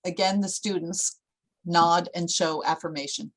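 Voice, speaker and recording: A woman's voice: fairly low pitch, steady tone, a little slow, rough recording. A woman narrating a screenplay at a table read, reading out scene headings and stage directions.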